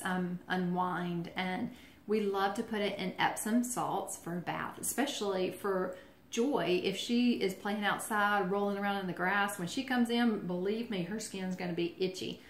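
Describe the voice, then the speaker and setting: A woman's voice: medium pitch, reverberant. A woman giving a casual how-to talk in a chatty, friendly way, with lots of ums and restarts. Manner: chatty, friendly, filler-heavy explaining. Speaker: essential oils presenter.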